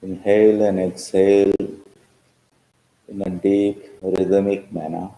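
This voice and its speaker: A man leading a guided meditation as a teacher, speaking calmly in measured short phrases with pauses. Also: deep voice, gravelly. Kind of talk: slow guided meditation instruction. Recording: roomy, echoing sound.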